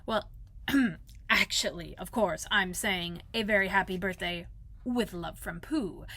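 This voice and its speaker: A woman's voice: medium pitch, takes it slowly, fairly bright tone. A woman voicing a fussy, self-important, learned male owl in a storybook, pompous but a little hesitant.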